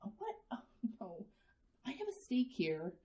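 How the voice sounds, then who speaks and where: A woman's voice: medium pitch, huge pitch swings, a little slow, warm tone. A woman playing an anxious suburban housewife and mother in an old-fashioned radio drama, hesitant and fretful as she hides her worry. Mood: nervous, fretful. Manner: hesitant, repeating words, fretful.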